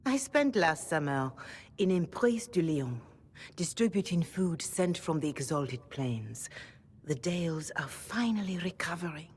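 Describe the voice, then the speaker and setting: A woman playing a gracious, devout older cleric in a video game, speaking formally with measured courtesy and gentle frankness. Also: fairly low pitch, expressive, a little hiss or hum. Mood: courteous, measured.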